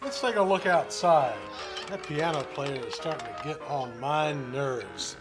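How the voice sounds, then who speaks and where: A man's voice: on the high side, lively intonation, measured pace. A man playing a terse, fact-seeking lawman in an old-time radio western parody. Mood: matter-of-fact. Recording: live club performance over clear music.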